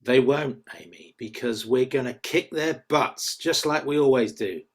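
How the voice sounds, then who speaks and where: A man's voice: mid-range voice, measured pace, close to an everyday voice. A man playing a battle-weary fifteen-year-old son, defiant and confident, in a dramatic script reading. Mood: grim confidence. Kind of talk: dramatic script table read.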